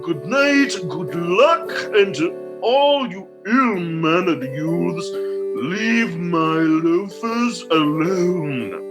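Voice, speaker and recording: A man playing a vain, pompous gentleman storyteller in a comedy radio play, with a grandiose, florid delivery. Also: sits high, lively intonation, drawn-out words, over loud music, heavily acted. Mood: smug, grandiose.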